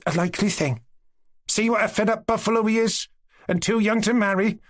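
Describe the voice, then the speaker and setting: A man's voice: high voice, steady tone, brisk, voice pushed up, lightly acted. A man reading aloud voices a bold young Cossack woman who speaks sharply and scolds.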